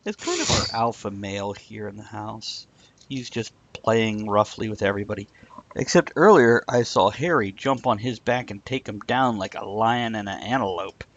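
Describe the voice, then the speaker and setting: A man's voice: on the low side, moderate pace, dull audio, a little hiss or hum. A man co-hosting a live stream show, chatting casually with sarcastic, rambling jokes. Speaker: podcast co-host. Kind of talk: casual chat.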